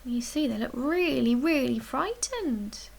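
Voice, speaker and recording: Slow, higher-voiced woman reading a bedtime story. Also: animated. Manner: slow, deliberate, dramatic emphasis with hushed, breathy dips. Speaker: storyteller reading a children's picture book. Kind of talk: expressive read-aloud bedtime story narration.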